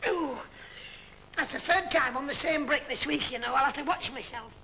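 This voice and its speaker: A man performing a fussy middle-aged washerwoman dame in a high fluttery falsetto, chattering comic complaints. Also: normal speed, gravelly, sharp tone, slight background noise, full character voice, rough recording. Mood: rueful.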